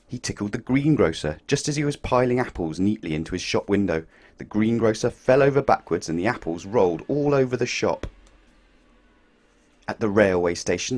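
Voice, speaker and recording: A man reading a picture book aloud to children, playful and expressive, swinging his voice up and down for effect. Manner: expressive, playful storytelling with big swings in pitch and loudness.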